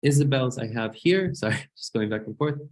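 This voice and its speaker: A man hosts a seminar over a video call. He speaks hesitantly, often pausing with fillers and apologizing. His voice sits at an ordinary middle pitch. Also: animated. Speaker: seminar host. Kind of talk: online seminar introductions.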